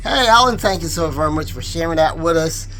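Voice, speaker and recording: A man's voice: on the high side, lively intonation, fairly quick, sharp tone. A man hosting in an effusive, chummy way, putting friendly interview questions to a friend. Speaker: channel host interviewing a friend.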